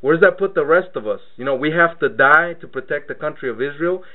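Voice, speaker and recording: A man's voice: fairly high pitch, animated, fast. A man born in Mexico hosting a radio interview, talking in a loose, rambling way and repeating words as he searches for his point. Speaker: radio show host. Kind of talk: casual radio interview talk. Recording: tinny phone-line radio audio.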